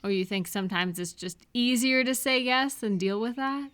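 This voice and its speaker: A woman playing a kind, caring friend in a comfort roleplay audio, speaking gently and reassuringly with soft questions. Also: on the high side, normal speed, smooth, natural voice. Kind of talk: comfort audio roleplay, one-sided conversation.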